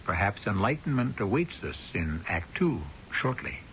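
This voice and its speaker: A man hosting a radio drama with theatrical scripted narration, wry, measured and formal. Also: lowish voice, big pitch swings, a bit bright, slight background noise. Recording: dull vintage radio recording.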